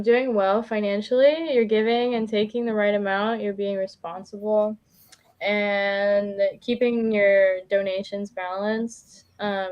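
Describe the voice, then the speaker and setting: A woman's voice: mid-range voice, clean tone, highs cut off. A woman doing a casual live tarot reading as a guest, chatty and informal, feeling her way with plenty of "like". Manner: informal, chatty, hesitant phrasing with frequent "like".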